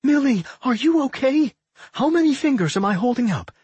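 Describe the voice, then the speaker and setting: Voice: mid-range voice, sing-song, unhurried, darkish tone, breathy edge, no room sound, lo-fi sound, pitched above the performer's own voice, full character voice. A narrator voicing a protective, fretful young winged female creature in a fantasy audiobook, urgent and scolding. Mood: worried.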